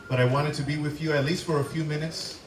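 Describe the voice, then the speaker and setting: A man's voice: fairly high pitch, steady tone, quick pace. A man interpreting a formal greeting speech phrase by phrase. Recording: echoey, distant mic, crowd noise.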